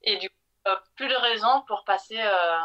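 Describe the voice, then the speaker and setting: A woman's voice: medium pitch, moderate pace, pushed and bright, lo-fi sound. A woman guest telling her story in a casual interview, hesitant with many fillers. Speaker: guest, chocolatier.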